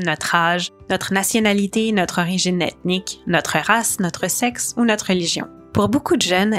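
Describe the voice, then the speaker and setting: A woman reading scripted narration in a clear, even manner. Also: mid-range voice.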